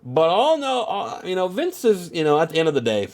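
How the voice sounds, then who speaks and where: A man's voice: sits high, wildly swooping pitch, brisk, darkish tone. A man with a stuffed-up nose giving casual, rambling commentary on a wrestling game, full of filler phrases. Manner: rambling, heavy on "you know" and "at the end of the day".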